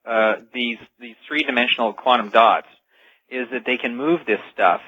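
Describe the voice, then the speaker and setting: A man speaking as an expert guest on a radio interview, explaining things in an emphatic, measured way. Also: medium pitch, slightly rough, no echo. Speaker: interview guest, technology author. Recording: dull, band-limited radio connection.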